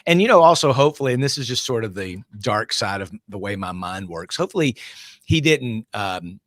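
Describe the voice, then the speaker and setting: Male panel guest, bit of a low voice, casual commentary, zero echo. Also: expressive, a little fast, fairly bright tone, studio quality.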